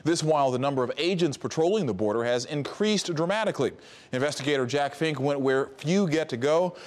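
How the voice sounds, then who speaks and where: A man's voice: fairly high pitch, expressive, brisk. A man anchoring television news, reading a scripted introduction to a report.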